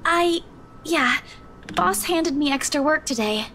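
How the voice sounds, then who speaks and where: A woman playing a subdued, withdrawn young woman in a video game, speaking quietly and hesitantly. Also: high-pitched, lively intonation, slow, a little hiss or hum, exaggerated voice. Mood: shaken, hesitant. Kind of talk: naturalistic video game voice acting.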